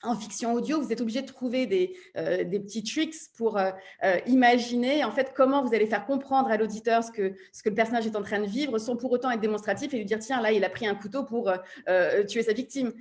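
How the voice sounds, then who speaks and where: A woman's voice: a little high, a little fast, a little mellow. A woman explaining her view on a discussion panel, often searching for words. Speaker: audio platform panelist.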